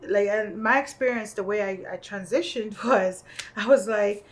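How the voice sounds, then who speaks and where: A woman's voice: medium pitch, normal speed. A woman in her thirties from Kenya, with a Kenyan accent mixed with English, hosting a casual podcast chat and telling stories full of "like" and "you know". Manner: animated storytelling, frequent "like" and "you know". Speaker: podcast host.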